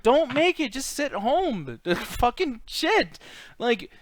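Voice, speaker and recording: Foul-mouthed, higher-pitched man ranting casually. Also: big pitch swings, takes it slowly, a little hiss or hum. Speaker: host of a movie discussion show. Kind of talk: casual opinionated chat.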